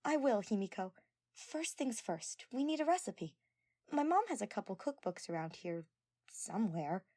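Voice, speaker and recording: A woman voicing an energetic, devoted teenage girl in an audio story, earnest and gushing and easily flustered. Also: medium pitch, normal speed, no room sound, clean studio sound, pitched above the performer's own voice. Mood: cheerful, helpful.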